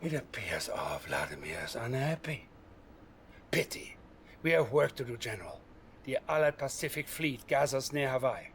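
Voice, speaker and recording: An actor playing a sinister, scheming psychic advisor in a game cutscene, speaking softly and menacingly, often close to a whisper. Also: male voice, mid-range voice, sing-song, moderate pace, slight background noise. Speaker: Soviet military advisor. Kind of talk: video game cutscene acting.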